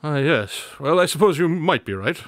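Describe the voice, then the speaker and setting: A man's voice: a little high, expressive, normal speed, no echo, close to an everyday voice. A man acting as a boastful, inquisitive detective in a radio drama, talking in a dry, precise, wordy way. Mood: dry, wry.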